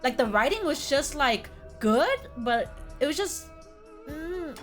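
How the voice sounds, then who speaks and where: A woman's voice: sits high, very animated, slow. A woman hosting a casual, chatty discussion of video games. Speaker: host of a gaming tier list video. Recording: music playing behind the talk. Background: background music.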